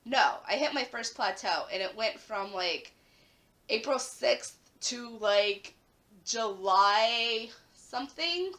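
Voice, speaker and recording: A young woman vlogging casually to her camera at home, her voice raspy and a little hoarse after the flu, chatting with plenty of "like" fillers. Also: fairly high pitch, animated, slow pace, fairly bright tone. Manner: chatty, lots of "like" fillers, rising emphasis.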